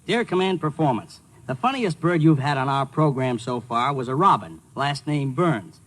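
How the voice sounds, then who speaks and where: A man's voice: medium pitch, lively intonation, normal speed, poor audio. A man reading a letter aloud in scripted 1940s radio style. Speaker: letter reader.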